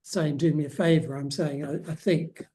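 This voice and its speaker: A man's voice: a little high, steady tone, brisk, mellow. A man speaking as a guest author in a casual interview, often hesitating and restarting his phrases.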